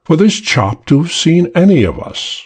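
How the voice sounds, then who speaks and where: A man voicing a short, stout, emotionless man with a British accent who speaks in a slow drawl. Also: a little high, big pitch swings, slightly rough, voice pushed up. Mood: cold.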